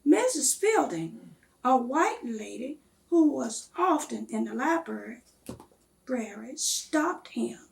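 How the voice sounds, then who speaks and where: A woman reading a children's story aloud in a measured, expressive storytelling manner. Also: high voice, gravelly.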